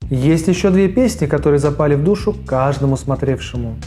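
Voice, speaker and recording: A man's voice: fairly high pitch, lively intonation, a little fast, dark tone. A man hosting a cartoon review in a casual video essay narration style. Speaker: host of a cartoon review show. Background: background music under the voice.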